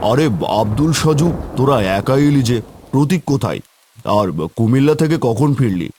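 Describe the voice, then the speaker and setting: A man's voice: mid-range voice, lively intonation, normal speed, mellow, slight background noise, natural voice. A man voicing an anxious, scolding villager in a cartoon, fretful, folksy and full of questions. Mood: inquisitive. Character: anxious, scolding village man among tea-stall regulars. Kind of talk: cartoon character acting.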